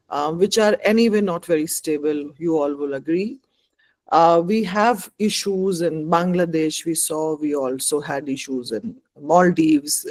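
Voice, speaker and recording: A woman giving a measured talk as an invited expert at a conference. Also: deep voice, darkish tone. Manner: measured, with um and uh fillers.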